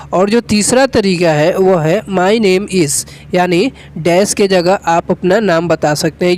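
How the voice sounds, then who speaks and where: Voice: mid-range voice, a little mellow. A teacher with an Indian accent, giving an instructional lesson step by step in a methodical, repetitive way. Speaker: English teacher.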